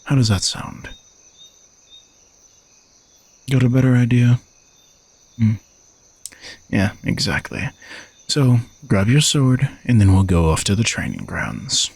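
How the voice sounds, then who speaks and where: A man's voice: medium pitch, sing-song, a little slow, creaky, long pauses, a little mellow, slightly rough, no room sound, full character voice. A man playing an arrogant, sardonic knight who is a reluctant royal bodyguard, teasing and condescending in a romantic audio roleplay. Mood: resigned, bossy. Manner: teasing, dry, exasperated sighs, condescending.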